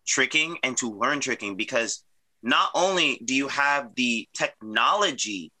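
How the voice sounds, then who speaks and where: A man's voice: mid-range voice, expressive, sharp tone. A man chatting casually as host, agreeable and rambling a little. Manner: agreeable, quick affirmations, rambling. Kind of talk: casual chat.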